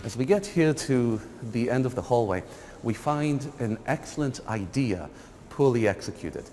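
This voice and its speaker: A man's voice: mid-range voice, lively intonation, normal speed, music in the background. A middle-aged man, a lecturer, giving a wry, critical walking tour of a building, recorded by a camera at a distance in hallways and an empty lecture hall. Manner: dry, wry critical commentary. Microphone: far camera. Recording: reverberant hall, faint room noise.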